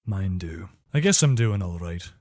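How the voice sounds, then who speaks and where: A man's voice: sits low, lively intonation, normal speed, darkish tone, no room sound, studio quality. A man playing a loyal, weary imperial soldier in a fantasy video game, speaking in an earnest, conversational, soldierly way. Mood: reflective, earnest. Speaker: voice actor for a game character. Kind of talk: video game character dialogue.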